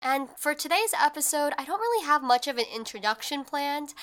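Bright, congested young woman host. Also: high-pitched, lively intonation, fairly quick. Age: young adult. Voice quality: more nasal than usual. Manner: chatty, lots of filler "like". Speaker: podcast host. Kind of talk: casual chat.

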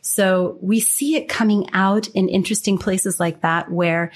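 A woman's voice: medium pitch, fairly even intonation, unhurried. A woman consultant answering interview questions in an articulate, explanatory way. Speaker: communication consultant, guest.

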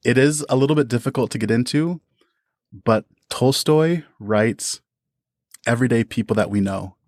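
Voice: medium pitch, normal speed, darkish tone, no echo, studio quality. A guest of about thirty giving thoughtful, articulate and enthusiastic answers in a conversational interview. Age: around 30. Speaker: interview guest, audio drama podcast creator.

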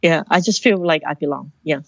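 A woman's voice: sits low, a little fast, no room sound. A woman from Hong Kong, a guest in an interview who tells her life story in an earnest, reflective way and often restarts her sentences. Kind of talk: personal life story in conversation.

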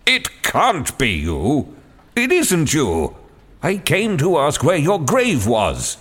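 Excitable Victorian author, read by a man, high and animated, in a reverberant room. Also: takes it slowly, slightly rough, full character voice. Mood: astonished. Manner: exclaiming, animated. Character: excitable astonished Victorian male writer. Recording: long hall reverb.

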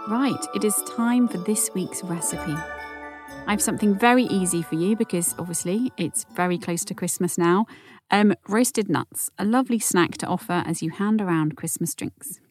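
A woman hosting a calm podcast, gently reading out listener messages with quiet near-whispered asides. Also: mid-range voice, normal speed, faint music behind. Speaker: podcast host.

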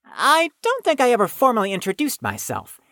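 A woman's voice: on the high side, wildly swooping pitch, brisk, fairly bright tone, no room sound, studio quality, exaggerated voice. A woman voicing a pompous, wordy teenage know-it-all in an audio drama, speaking in an over-formal manner. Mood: pompous, polite. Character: pompous, verbose teenage know-it-all nerd named Gray.

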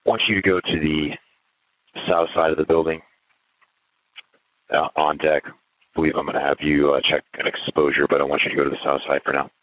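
A man's voice: extremely low pitch, flat delivery, fairly quick, pauses often, fairly bright tone, no room sound, low-quality recording. A man working as a fire incident commander, giving clipped, composed orders over a two-way radio. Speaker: fire battalion chief acting as incident commander. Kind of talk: procedural emergency radio dispatch traffic.

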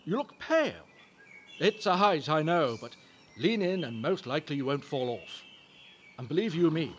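An actor playing a genial, wry, talkative Georgian husband, henpecked yet cheerful, in a period audio drama. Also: male voice, on the high side, a little hiss or hum.

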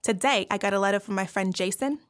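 Woman doing a wry prisoner explaining stuff, kinda dismissive, mid-pitch. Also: quick pace, close to an everyday voice. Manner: wry, dismissive, matter-of-fact. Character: wry woman inmate narrating prison life. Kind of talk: audio play monologue.

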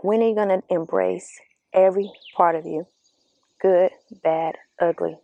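A woman giving a reflective, earnest motivational talk outdoors, speaking slowly and asking the listener questions, her voice slightly raspy. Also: lowish voice, steady tone, no room sound, phone-quality sound. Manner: slow, earnest, coaching, asks the listener questions. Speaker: vlogger giving spiritual encouragement. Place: outdoors among birds. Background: birdsong.

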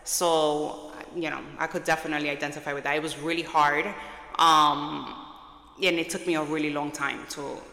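A low-pitched woman whose first language is Spanish talks about school life with a bright, clear tone. She pauses and fills often, speaking softly. The room rings with long reverb. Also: little pitch movement. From New York, with childhood in Puerto Rico. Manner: soft-spoken, hesitant with many fillers. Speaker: school social worker. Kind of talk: interview, personal recollection.

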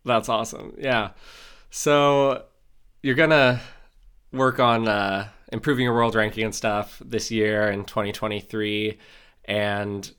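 A man's voice: on the low side, fairly even intonation, takes it slowly, a bit bright, smooth. A young man hosting a sports podcast, chatting casually and enthusiastically, full of "like" and "super". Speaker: podcast host. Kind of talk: casual interview chat.

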